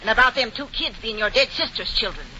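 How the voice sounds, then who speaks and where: A gruff landlady scolds and accuses in a hard, piercing voice. The talk is colloquial, in old radio-play style. The recording is muffled, with light noise. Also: a little high, animated, quick pace. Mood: angry, accusing. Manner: sharp, accusatory, colloquial grammar. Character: gruff, scolding landlady demanding back rent. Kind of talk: 1940s radio acting. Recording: dull, lightly noisy vintage radio broadcast.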